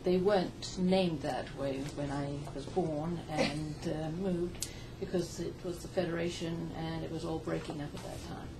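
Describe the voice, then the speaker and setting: An older woman from Zimbabwe, a guest in a radio interview, gracious and quick to laugh. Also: deep voice, fairly even intonation. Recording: distant mic with noticeable noise.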